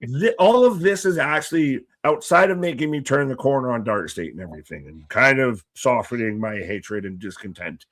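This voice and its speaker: A man's voice: mid-range voice, normal speed, few pauses, no echo. A man co-hosting a live wrestling watch-along stream, cracking jokes and swearing freely. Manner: jokey, excitable, swears freely. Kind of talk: casual live-stream commentary.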